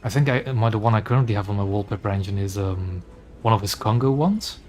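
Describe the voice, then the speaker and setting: A man's voice: on the low side, fast talker, a little mellow, a little hiss or hum. Chatty Belgian man with a mixed accent. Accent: mixed Belgian and English. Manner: chatty, rambling with fillers. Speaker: gaming streamer. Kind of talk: casual commentary while playing.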